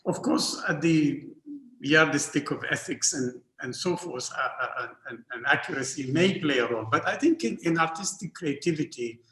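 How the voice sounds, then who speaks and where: Sudanese-born art historian guy on a panel, lots of uh uh restarts, bit of a high voice, echoey room. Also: animated, moderate pace, bright, forceful tone. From Northern Sudan. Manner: hesitant, many repeated fillers and restarts. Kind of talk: academic panel discussion. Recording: roomy echo.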